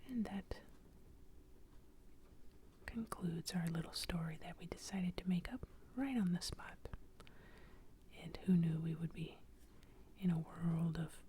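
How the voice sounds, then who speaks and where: Slow, soft-whispering woman storyteller. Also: fairly low pitch, slight background noise. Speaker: ASMR storyteller.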